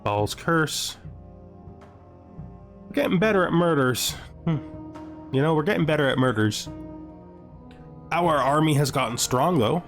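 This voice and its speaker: A man giving casual gaming commentary, thinking aloud and asking himself questions as he weighs his choices. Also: on the high side. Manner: asks himself questions, muses over choices. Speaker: gameplay host.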